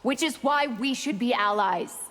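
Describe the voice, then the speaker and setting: A woman's voice: fairly high pitch, moderate pace, fairly bright tone, lightly acted. An actress playing a cold, scheming warrior woman in a fighting game, haughty and sly in manner. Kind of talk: dramatic video game dialogue. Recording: long reverb.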